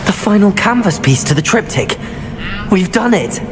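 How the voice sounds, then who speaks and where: An actor playing an earnest, measured young student wizard in a video game. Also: male voice, sits high, sing-song, noisy background. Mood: intrigued.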